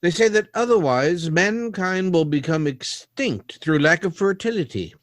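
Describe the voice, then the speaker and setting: A man playing an anguished scientist in a 1930s-style radio drama, speaking in a grave, halting, theatrical way. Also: high voice, lively intonation, dry recording, studio quality. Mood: grave, foreboding. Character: anguished male scientist confessing to tampering with robots.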